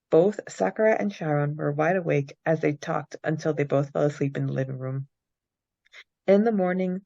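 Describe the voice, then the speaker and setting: A woman's voice: sits low, gravelly, a little mellow, muffled recording. A young adult woman co-hosting a podcast, recapping and discussing a story. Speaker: podcast co-host. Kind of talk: reading a recap, then casual book-club chat.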